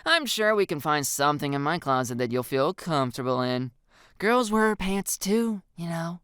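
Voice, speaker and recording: A woman voicing an exasperated, sarcastic teenage girl in an audio story, stern and quippy but soft-hearted underneath. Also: low-pitched, animated, moderate pace, smooth, dry recording, clean studio sound. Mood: reassuring.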